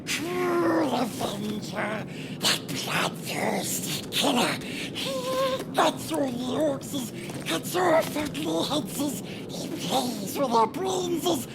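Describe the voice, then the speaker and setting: A man's voice: sing-song, extremely slow, gravelly, heavily acted. An actor playing a gleefully bloodthirsty orc in a fantasy game, theatrical and sadistic, drawing out his verse. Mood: sadistic glee. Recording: loud rumbling noise behind the voice.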